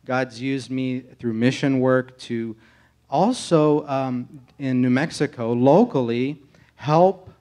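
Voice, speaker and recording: An American man speaking as a pastor candidate in an informal testimony to a congregation, earnest and halting in his delivery. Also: mid-range voice, warm tone. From United States, grew up in Tennessee. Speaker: pastor candidate being interviewed in church.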